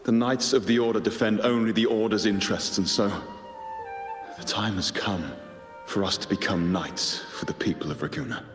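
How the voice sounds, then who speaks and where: A man's voice: fairly low pitch, fairly even intonation, moderate pace, lightly acted. A man playing an earnest poet turned rebel in a game, speaking in a solemn, declamatory way. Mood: solemn resolve. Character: earnest male poet turning rebel for the people. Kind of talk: dramatic game voice acting, soliloquy. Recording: echoey reverberant hall, music behind. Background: music.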